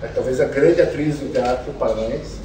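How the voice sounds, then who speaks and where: A man's voice: medium pitch, moderate pace, recorded from a distance, a crowd in the background. A Brazilian man hosting a programme and interviewing guests in a conversational style. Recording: roomy, off-mic, music behind.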